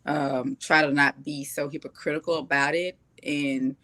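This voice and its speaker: A woman with a gravelly edge to her voice, answering casually and conversationally as an interview guest. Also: low-pitched, flat delivery, normal speed, bright, forceful tone. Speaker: stage play cast guest.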